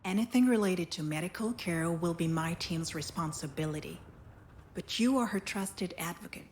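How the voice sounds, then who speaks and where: An actress plays a serious woman doctor in a narrative video game. Her voice sits a little low, and she speaks formally and insistently while staying composed. Faint ambient noise runs behind her. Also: normal speed, close to an everyday voice. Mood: grave, professional. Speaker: voice actor playing a doctor. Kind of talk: acted game dialogue.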